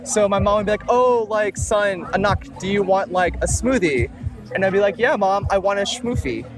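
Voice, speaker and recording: A young man with a fairly high voice, his first language Tagalog, gives a laid-back, rambling interview, filling his sentences with "like." He is recorded outdoors at the beach, and music plays clearly underneath him. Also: normal speed. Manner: relaxed, rambling, many "like" fillers. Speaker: music producer, guest. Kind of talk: casual interview. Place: outdoors at a beach, traffic nearby.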